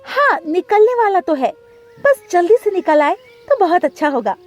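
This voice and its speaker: Cartoon mother bird, performed by a woman: high, swooping, emotional, easily distraught. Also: sing-song, moderate pace. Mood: cheerful. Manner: high-pitched, plaintive, dramatic. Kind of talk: Hindi cartoon story dialogue.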